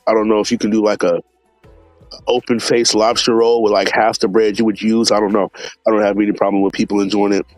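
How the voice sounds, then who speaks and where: A man hosting a casual live stream, talking in a relaxed, slangy way and sounding a bit stuffed up from allergies. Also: lowish voice, quick pace, no echo, soft background music, rough recording. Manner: laid-back, slangy, many fillers, greets chat viewers by name.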